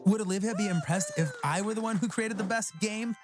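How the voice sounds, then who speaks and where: A narrator reading a scripted first-person story for an animated tale. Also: medium pitch, brisk. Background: background music.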